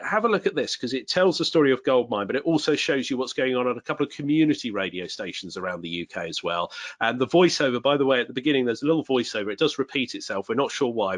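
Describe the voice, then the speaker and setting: A man presenting and chatting casually about local radio, relaxed and friendly. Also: medium pitch, no room sound. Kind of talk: casual talk to an online audience.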